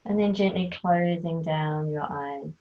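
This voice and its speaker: Slow, soft-spoken woman guiding relaxation. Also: low voice. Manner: slow, soft, soothing instruction. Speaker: yoga nidra teacher. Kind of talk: guided relaxation. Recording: tinny phone-like sound.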